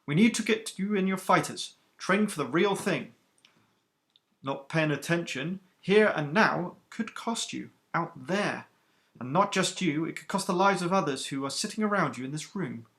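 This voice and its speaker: A stern, clipped woman starship captain with an alien accent, her voice pitched well above a gravelly reader's own, as a man puts her on. She gives orders with crisp authority and no patience for chatter. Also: expressive, normal speed, a bit bright. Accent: stern alien Celestian accent.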